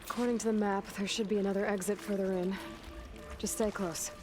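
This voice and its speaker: Actress as a blunt, practical young heroine. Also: female voice, mid-range voice, fairly even intonation, brisk, close to an everyday voice. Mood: matter-of-fact. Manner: matter-of-fact, direct. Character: young female warrior explorer, practical, blunt and determined. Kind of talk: video game character dialogue. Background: game music.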